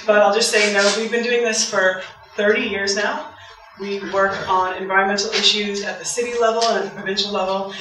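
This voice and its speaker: A soft-voiced woman from Canada, a waste campaigner giving a public talk, chatty and eager. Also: medium pitch. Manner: chatty, eager, laughs often. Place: event hall. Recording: very echoey hall from far off.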